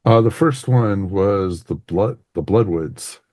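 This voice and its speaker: Male podcast host, very low register, loose rambling conversational delivery, dry recording. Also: takes it slowly, dark tone, dry recording. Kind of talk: casual review discussion.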